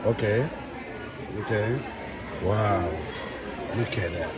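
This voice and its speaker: A man's voice: lowish voice, slow pace. A man chatting casually as an interviewer, exclaiming encouragingly and often repeating his phrases. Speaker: interviewer filming a school project fair. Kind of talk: casual chat, interviewing a child. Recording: loud crowded public room, noisy. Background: loud crowd chatter at a busy school event.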